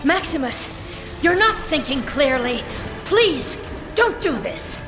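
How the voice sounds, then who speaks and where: High, measured, regal warrior queen. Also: sing-song, music in the background, exaggerated voice. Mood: pleading, urgent. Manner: commanding, earnest, dramatic. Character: regal, resolute warrior queen, stern and protective. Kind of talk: game cutscene acting.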